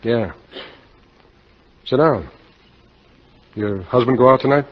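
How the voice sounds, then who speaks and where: Muffled vintage radio sound frames a hardboiled man with a husky voice. He is a cynical insurance salesman who talks in terse, slangy wisecracks, in fifties noir style. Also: mid-range voice, takes it slowly. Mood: wary, probing. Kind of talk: 1950s radio drama acting, hardboiled noir. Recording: dull, lo-fi 1950 radio broadcast.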